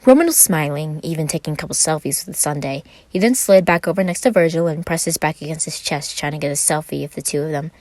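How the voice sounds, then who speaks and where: A woman reading scripted fan fiction as an expressive story narrator. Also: sits low, quick pace, hardly any pauses, no room sound. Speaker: podfic narrator.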